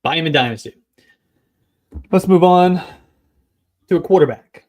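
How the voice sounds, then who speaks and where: A man hosting a fantasy football podcast, delivering brisk, stat-heavy analysis in casual chat. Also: fairly high pitch, expressive, a little mellow. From New England.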